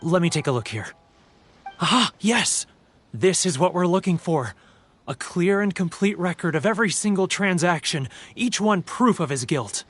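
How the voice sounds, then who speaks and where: A man's voice: high-pitched, expressive, normal speed, fairly bright tone, soft background music. An actor playing a timid, earnest, awkward young man in a video game. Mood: eager. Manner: hesitant, earnest. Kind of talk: video game character acting.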